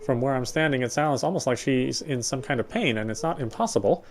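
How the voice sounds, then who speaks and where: A man streaming a game, reading its text aloud as measured, dramatic narration with occasional stumbles. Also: medium pitch, animated, slight background noise. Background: game music.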